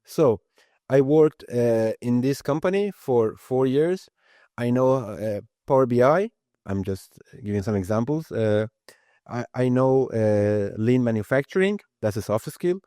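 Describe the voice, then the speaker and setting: Persian-native guy in his 30s, earnest, keeps restarting, mid pitch, dry close sound. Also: lively intonation, a little slow, warm tone, dry recording. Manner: earnest, restarts phrases, emphatic. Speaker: guest, IT lead. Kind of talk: casual interview talk.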